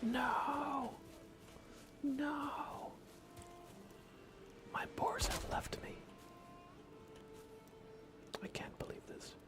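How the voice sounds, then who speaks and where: A man giving hushed, soft-spoken ASMR gaming commentary as a live streamer, often dropping into a whisper. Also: very high-pitched, steady tone, very slow. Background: music.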